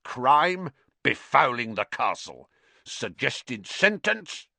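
A man's voice: medium pitch, sing-song, takes it slowly, vocal fry, sharp tone, no echo, voice pushed up, heavily acted. A man voicing a furious, spiteful old school caretaker in an audiobook, wheezy and strained as he rants. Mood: grumbling, officious. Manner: ranting, muttering, roaring.

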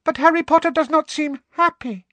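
A man voicing a small, eager and humble male house elf with a squeaky voice, in a storybook reading. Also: moderate pace, sharp tone, dry recording. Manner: eager, humble, speaks of himself in third person.

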